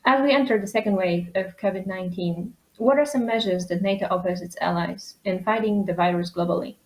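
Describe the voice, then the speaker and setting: Young Polish woman, polite and formal, mid-pitched. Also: brisk, darkish tone, low-quality recording. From Poland. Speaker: young diplomat asking a question.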